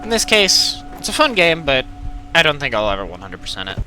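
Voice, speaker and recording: A man's voice: a little high, wildly swooping pitch, brisk, pushed and bright, faint music behind. A man giving casual, rambling let's play commentary with playful asides. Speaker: gaming video host. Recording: game gunfire and ambience behind voice. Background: game gunfire and effects.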